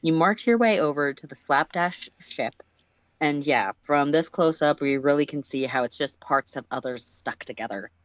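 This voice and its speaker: Expressive story reader, dry close sound. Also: mid-range voice, normal speed, no room sound, highs cut off. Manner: animated second-person storytelling, stumbles and self-corrects. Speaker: narrator reading a fan story on a livestream. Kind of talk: expressive story reading with casual asides.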